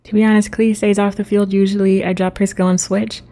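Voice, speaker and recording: A woman's voice: mid-range voice, flat delivery, brisk, dark tone. A woman hosting a game livestream, chatting casually with her viewers as she plays. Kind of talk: casual chat with live viewers while playing.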